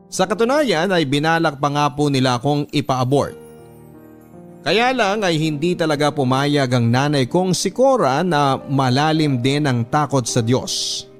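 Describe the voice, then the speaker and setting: A man with a Filipino accent reading a scripted dramatic story in an earnest, polite way, as a storyteller. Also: fairly high pitch. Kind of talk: scripted dramatic narration of a letter. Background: background music.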